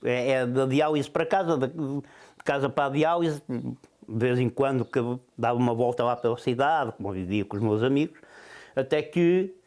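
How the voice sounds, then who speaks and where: A man's voice: mid-range voice, moderate pace, a little mellow. A man in his sixties from Portugal with a European Portuguese accent, hesitantly recounting his life in an interview. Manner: hesitant, with many fillers. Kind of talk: personal account in an interview.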